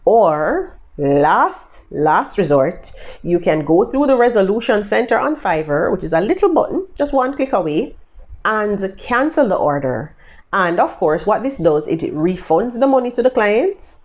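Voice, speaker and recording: A woman's voice: medium pitch, big pitch swings, a little slow, darkish tone. A Jamaican woman giving casual, chatty tutorial tips to her viewers, upbeat and addressing them directly. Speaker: vlogger giving freelancing tips.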